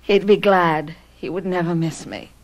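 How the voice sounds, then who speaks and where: A woman's voice: on the low side, fairly even intonation, a little slow, natural voice. An actress playing a willful, passionate young married woman in a radio drama, imperious and dramatic. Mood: anguished, weary.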